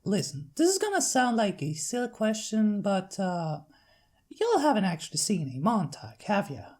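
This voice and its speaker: Woman as a folksy Southern farm pony, pitched up. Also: big pitch swings, moderate pace, darkish tone. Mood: puzzled. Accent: American Southern. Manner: folksy, casual, hearty. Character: friendly, plain-spoken country farm pony, young woman.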